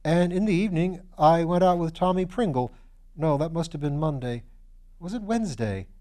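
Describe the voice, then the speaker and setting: A man's voice: on the high side, lively intonation, normal speed, warm tone, pitched above the performer's own voice, close to an everyday voice. A man reading a storybook as a flustered, eager, self-deprecating young upper-class English student who talks in old-fashioned slang. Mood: flustered, unsure.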